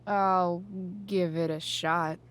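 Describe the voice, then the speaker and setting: A rebellious American teenage girl talks in a lowish, unhurried voice. She is dry, cheeky and guarded, tossing out slangy sarcasm in a naturalistic game drama. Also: steady tone, a little hiss or hum. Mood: defiant, sarcastic. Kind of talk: naturalistic video game acting.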